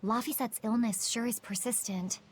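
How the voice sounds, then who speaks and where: A woman's voice: fairly high pitch, fairly even intonation, normal speed, a little hiss or hum, lightly acted. A woman playing a kindly, sympathetic village woman in a fantasy video game.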